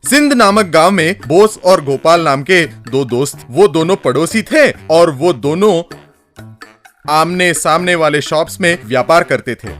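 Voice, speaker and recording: A man's voice: on the high side, expressive, a little slow, fairly bright tone, soft background music. A man reading a scripted story as its narrator.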